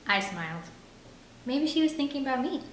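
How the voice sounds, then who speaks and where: A woman's voice: high-pitched, very animated, fairly quick. A woman narrating a first-person novel aloud as an audiobook reader. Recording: roomy echo with faint background noise.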